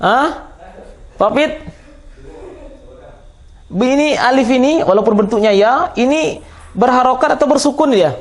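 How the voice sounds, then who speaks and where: A man's voice: extremely high pitch, lively intonation, a little slow, long pauses. A man with a Minangkabau Indonesian accent teaching a grammar class, explaining step by step and quizzing his listeners. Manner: didactic, repeats and quizzes listeners. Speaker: Islamic teacher of Arabic grammar. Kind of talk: classroom lesson, explaining with questions to students.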